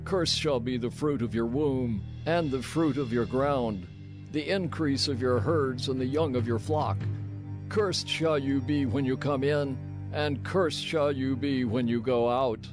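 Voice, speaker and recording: A man voicing a herald who proclaims curses in a measured, ceremonial recitation over music. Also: mid-range voice, lightly acted. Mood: stern proclamation. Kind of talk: dramatized scripture reading. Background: music underscore.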